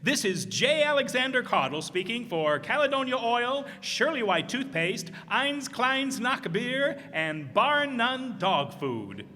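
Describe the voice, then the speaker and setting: An actor playing a pompous, excitable space correspondent in an old-time radio drama, with fussy announcer-like delivery. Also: male voice, very high-pitched, slow pace, hardly any pauses, slight background noise, full character voice. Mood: pompous, proud. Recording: reverberant hall stage recording.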